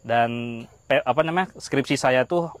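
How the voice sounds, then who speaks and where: Mid-pitched, hesitant young man. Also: moderate pace. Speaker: interview guest, university lecturer. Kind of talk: casual personal testimony.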